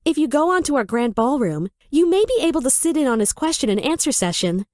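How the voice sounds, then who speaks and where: A woman's voice: high voice, very animated, brisk, darkish tone, no room sound, clean studio sound. A woman playing a polite, helpful casino front desk receptionist in a visual novel, with courteous customer-service manners.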